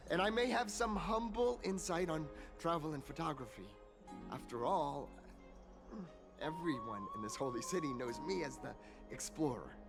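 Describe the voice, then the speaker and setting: An actor playing an excitable male explorer and photographer in a video game, stammering and repeating words as he talks. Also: a little high. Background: game music.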